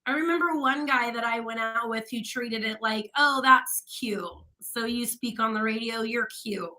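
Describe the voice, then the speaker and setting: A woman's voice: on the high side, normal speed, sharp tone. A woman radio host in casual chat with her co-host, chatty and laughing often.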